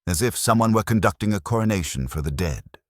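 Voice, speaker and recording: Grand, deliberate village gossip woman, read by a man. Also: on the low side, expressive, no echo, studio quality, voice pushed up. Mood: grave relish. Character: authoritative village gossip woman, given to embellishment.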